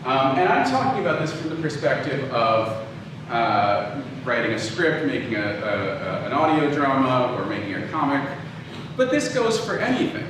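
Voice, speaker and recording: A man giving an informal public lecture in a conversational, halting way, often restarting his phrases. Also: mid-range voice, sing-song. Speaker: lecturer, audio drama producer. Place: indoor room talk before an audience. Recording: very echoey room, distant mic, noisy.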